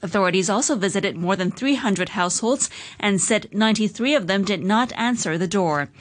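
A woman reads the radio news bulletin in a measured, steady delivery, pitched in the middle for a woman. She runs through the headlines like a practiced anchor. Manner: measured, even newsreader delivery. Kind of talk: scripted radio news reading.

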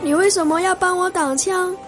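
An earnest young police officer in a school radio play, acted by a female performer in a high, youthful voice. The delivery is amateur stage acting, with music underneath. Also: steady tone, fairly quick. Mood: distressed.

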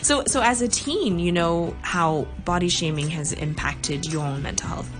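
A woman hosts an interview show, her voice on the low side for a woman. She asks questions in a searching way, filling gaps with "you know" and "um". Music plays behind her. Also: sing-song, moderate pace. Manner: warm questioning, many "you know" fillers. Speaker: host. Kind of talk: interview hosting.

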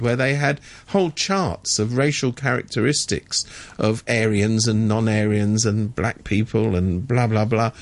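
A man talking as a regular guest on a radio show, indignant and sardonic, often restarting his sentences. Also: medium pitch. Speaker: regular commentator. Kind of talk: radio studio discussion.

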